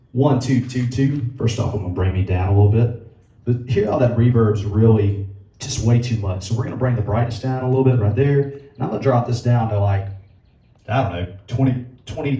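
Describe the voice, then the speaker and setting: A man with a low voice giving a casual tutorial, talking through settings as he adjusts them. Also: dull audio. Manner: relaxed, talks while adjusting settings. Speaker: musician demonstrating gear.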